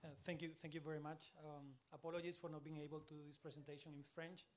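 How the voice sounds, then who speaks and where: Male tech speaker, Spanish accent, somewhat high voice, brisk conference talk with fillers. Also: little pitch movement, slight background noise. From Spain. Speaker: panel speaker, tech specialist. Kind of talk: conference presentation.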